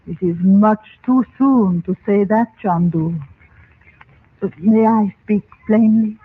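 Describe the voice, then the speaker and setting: A woman playing a grave, guarded Egyptian princess in old-time radio drama, speaking in measured, formal phrases. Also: mid-range voice, slightly rough. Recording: muffled, tinny vintage radio recording.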